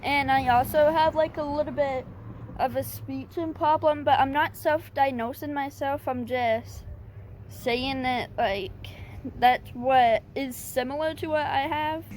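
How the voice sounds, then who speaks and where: A woman vlogger giving casual advice, talking in a halting, hesitant way with stutters and restarts. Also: high voice, darkish tone, a little hiss or hum. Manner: hesitant and plain-spoken.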